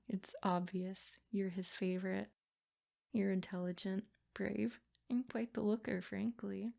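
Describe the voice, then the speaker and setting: A woman's voice: medium pitch, vocal fry, warm tone. A woman voicing a sadistic, possessive princess in an ASMR roleplay, speaking in formal, haughty phrases with silky menace. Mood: smug, threatening. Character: sadistic, possessive yandere princess, smug captor. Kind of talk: ASMR roleplay, scripted character monologue.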